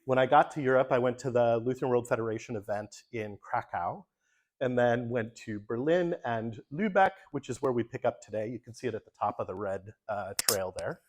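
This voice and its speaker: A man's voice: mid-range voice, brisk. A man, a pastor, talking casually through a slide presentation at a church forum, with frequent ums and uhs. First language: English. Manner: relaxed, many ums, talks through slides.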